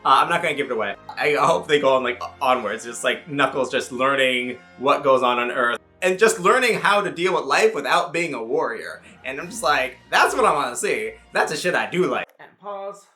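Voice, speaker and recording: A man giving a casual, rambling movie review in an animated, casually sweary way, as an online reviewer. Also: mid-range voice, moderate pace, hardly any pauses, breathy edge, soft background music. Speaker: YouTube game and film reviewer.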